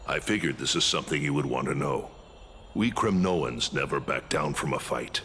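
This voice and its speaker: Game role: gruff veteran warrior, bottom-register voice, wary, commanding and sardonic. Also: male voice, a bass voice, expressive, moderate pace, fairly bright tone, a little hiss or hum. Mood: stern. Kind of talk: video game voice acting.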